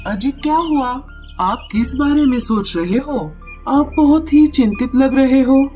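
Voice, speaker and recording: A woman's voice: sits high, unhurried, dark tone, soft background music. A woman voicing an indulgent mother bird queen in a cartoon story, coaxing and protective. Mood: concerned. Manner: coaxing, protective of her child. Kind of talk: Hindi cartoon story acting.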